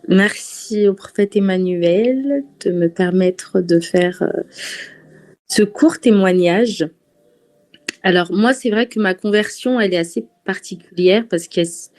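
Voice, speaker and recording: A woman from France giving a personal testimony to a church gathering, pausing often and restarting her sentences. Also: on the low side, a little mellow. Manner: hesitant, many fillers and restarts.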